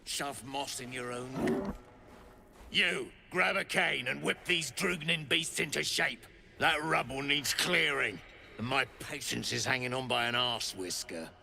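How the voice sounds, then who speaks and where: An actor playing a gruff, bossy, foul-mouthed dwarf overseer of slaves in a video game, talking in curt earthy slang. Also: male voice, mid-range voice, unhurried, noisy background. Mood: impatient, threatening. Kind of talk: video game character acting.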